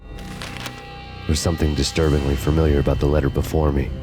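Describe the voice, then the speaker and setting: An actor voicing a bitter, brooding fugitive cop in a grave, deadpan noir narration for a video game. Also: male voice, very deep voice, a little fast, over music. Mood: grim deadpan.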